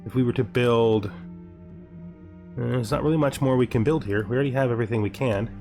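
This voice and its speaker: A man's voice: medium pitch, brisk, creaky, a little mellow, soft background music. A man giving casual gaming commentary, thinking aloud and asking himself questions as he weighs his choices. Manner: asks himself questions, muses over choices. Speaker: gameplay host.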